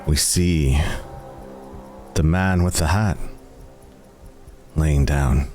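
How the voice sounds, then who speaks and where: A man working as game master, narrating a tabletop game in a theatrical, suspenseful, cinematic style with deliberate pauses. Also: a bass voice, mellow, faint music behind.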